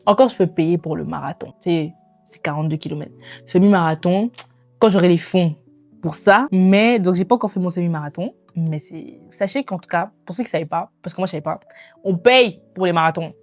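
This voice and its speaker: A woman in her twenties chatting casually to viewers as a lifestyle vlogger, in an animated, informal way with slangy phrasing and bits of English mixed in. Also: lowish voice, brisk. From immigrated to Canada. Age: 20s. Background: background music.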